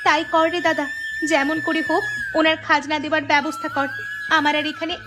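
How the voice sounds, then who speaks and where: A woman's voice: high-pitched, flat delivery, fairly quick, music in the background, close to an everyday voice. A woman playing a distressed, lamenting poor village woman in a cartoon, with a plaintive, wailing delivery. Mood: plaintive, worried. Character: distressed, lamenting poor village women who lost their home. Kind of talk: Bengali cartoon dialogue acting.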